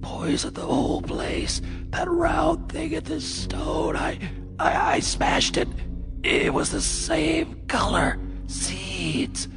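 A raving dying farmer. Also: male voice, very high voice, sing-song, slow, exaggerated voice. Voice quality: gravelly, rasping. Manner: broken, stammering, cracked raving. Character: dying farmer crumbling from alien blight, raving. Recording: radio drama with music underneath.

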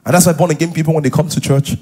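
A middle-aged man with a Nigerian accent and a husky voice, preaching as a pastor to a live congregation in an animated, conversational way, full of interjections and rhetorical questions. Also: fairly high pitch, racing. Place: large church auditorium, on stage. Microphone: headset.

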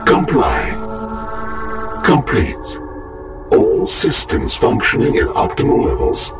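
Evil computer mind, deep robotic rasp, imperious, deliberate, distant, video game villain. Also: male voice, sing-song, over loud music, full character voice. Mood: cold, obedient. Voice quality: deep, gravelly. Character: cold, menacing rogue artificial intelligence with contempt for humans. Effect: robotic processed voice. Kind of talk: video game cutscene acting.

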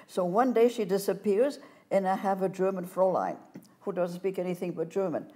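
An older woman telling her life story as a guest in a studio interview, animated and gesturing as she talks, on a lapel mic. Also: mid-range voice, fairly quick. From France. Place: studio set, seated in armchair.

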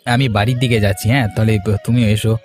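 Man playing hesitant farmer, quick speech, cartoon dialogue, faint music behind. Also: mid-range voice, steady tone, a little mellow, lightly acted. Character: hesitant farmer friend seeking help. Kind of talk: cartoon moral-story dialogue.

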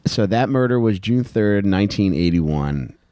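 A man's voice: fairly low pitch, expressive, a little slow, mellow, no echo. An American man co-hosting a casual comedy podcast, swearing and joking crudely into a close handheld mic. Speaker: podcast co-host. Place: small wood-panelled room, indoors. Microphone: handheld microphone held close.

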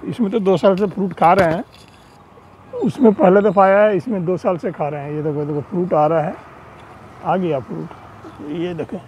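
Guy showing off his terrace plants on his phone, kinda high voice, faint street noise. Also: moderate pace, a little mellow, muffled recording. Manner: chatty, pointing things out. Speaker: home gardener giving a tour. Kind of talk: casual walkthrough narration. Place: rooftop terrace garden, outdoors. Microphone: handheld phone camera.

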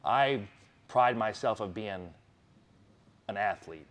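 Low-voiced eager strongman guest. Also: lively intonation, slow pace, fairly bright tone. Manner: eager, plainspoken, listing things off. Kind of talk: casual interview answers.

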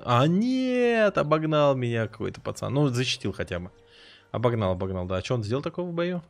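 A man streaming a game live, chatting with viewers in a rambling way and reacting loudly to the action. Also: mid-range voice, brisk, creaky, soft background music. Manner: excitable, rambling, swears, jumps between chatting and reacting to the game. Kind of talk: live gameplay commentary and chat.

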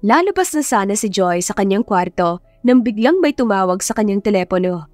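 A woman narrates a scripted romance as an audiobook reader. Her voice is mid-pitched and recorded dry and close, with music playing underneath. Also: lively intonation, fairly quick, a little mellow, dry recording.

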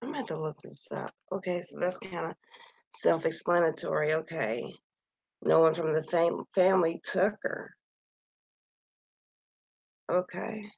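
Slow, gravelly-voiced woman losing her voice. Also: low-pitched, dry recording. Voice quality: gravelly, slightly husky voice. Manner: slow, soft-spoken, sighing and musing aloud. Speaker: vlogger reading a missing persons list. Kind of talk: casual screen-share commentary. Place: at home near Kansas City.

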